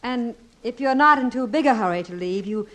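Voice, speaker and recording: A patient, affectionate wife in an old radio comedy. Her voice sits a little high and she speaks tenderly, with small hesitations, on a vintage 1950s recording. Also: expressive, fairly quick, fairly bright tone. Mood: tender, hurt.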